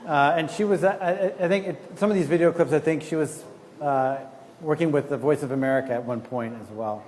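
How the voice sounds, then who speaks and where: A man gives a relaxed, chatty conference talk in a slightly raised voice, often restarting his sentences. The hall rings with a long reverb, and faint noise sits under his voice. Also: on the high side, brisk. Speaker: conference speaker. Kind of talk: conversational lecture.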